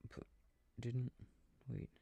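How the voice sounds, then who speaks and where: A man playing an affectionate boyfriend in an intimate ASMR sleep-aid roleplay, murmuring and rambling softly with a breathy voice, close to the microphone. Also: extremely slow, no room sound, muffled recording. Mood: absorbed, mildly puzzled. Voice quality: soft, husky, breathy. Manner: murmured, rambling, gently bemused.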